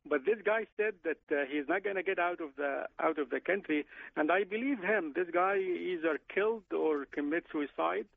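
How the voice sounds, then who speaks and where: A man from Egypt, a guest professor on a radio call-in, talking haltingly with many fillers and restarts. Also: on the high side, vocal fry, no echo. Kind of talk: phone-in radio interview. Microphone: phone. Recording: tinny phone line.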